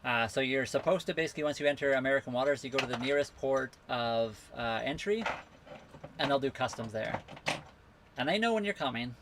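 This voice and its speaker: A man's voice: mid-range voice, lively intonation, a little fast, background noise. Easygoing man chatting on a livestream. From western Canada. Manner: easygoing, rambling, reading out and answering chat comments. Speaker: livestream host answering viewer questions. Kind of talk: casual live Q&A chat. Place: on a sailboat at a marina.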